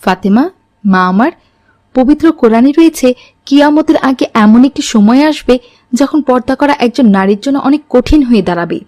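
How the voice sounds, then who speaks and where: A woman's voice: sits high, expressive, brisk, a little mellow, no echo. Woman voicing a pious, admonishing cartoon mother. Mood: stern, pleading. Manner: preachy, instructive. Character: pious, admonishing middle-aged mother, strict but caring. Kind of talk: moral-tale cartoon dubbing.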